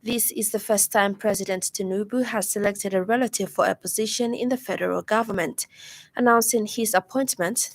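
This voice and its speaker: A woman with a Zambian accent presents scripted news on radio in a formal, measured manner. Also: medium pitch. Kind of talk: scripted news bulletin reading. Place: radio studio.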